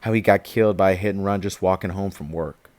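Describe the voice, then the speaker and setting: An actor playing a defiant, streetwise young activist in an audio drama, with a sardonic, informal way of talking. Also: male voice, sits low, fast, darkish tone, dry recording, close to an everyday voice. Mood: bitter. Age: young adult.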